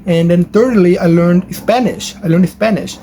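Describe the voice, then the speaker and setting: A man's voice: moderate pace, warm tone. A man whose first language is Portuguese, talking casually to camera from his bedroom in a rambling way with many fillers and restarts. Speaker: language-learning YouTuber. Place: his bedroom at home, near a highway. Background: traffic noise from a nearby highway.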